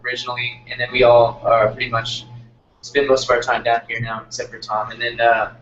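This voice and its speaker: A young man from Texas talks casually as an interviewed band member, feeling his way through sentences with many fillers. His medium-pitched voice sounds distant in a roomy space, dull and faintly noisy. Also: monotone, a little fast, a bit bright. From Texas, United States. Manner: hesitant, filler-heavy, searching phrasing. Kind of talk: casual chat.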